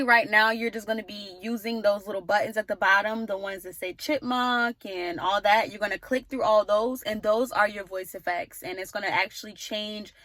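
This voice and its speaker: A woman's voice: mid-range voice, bright, forceful tone, dry recording. A woman in her twenties hosting a casual how-to vlog on her phone, upbeat and chatty. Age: 20s. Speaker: YouTuber.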